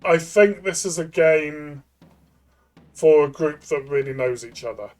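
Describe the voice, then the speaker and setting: A man co-hosting a game review discussion, speaking casually in a thoughtful, halting way. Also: on the high side, faint music behind. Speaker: co-host of a tabletop game review show.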